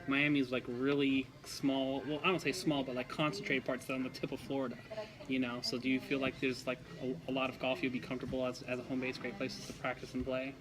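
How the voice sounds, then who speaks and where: A man's voice: mid-range voice, monotone, a little fast, crowd noise, poor audio. A man hosting a golf podcast, asking rambling, casual questions with many fillers. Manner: chatty, filler-heavy questions. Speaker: podcast host, interviewer. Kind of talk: casual interview.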